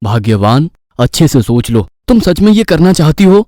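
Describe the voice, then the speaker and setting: A man's voice: a little high, sing-song, moderate pace, mellow, dry recording, clean studio sound. A man voicing an exasperated, grumbling village father in a Hindi cartoon story, scolding and doubtful.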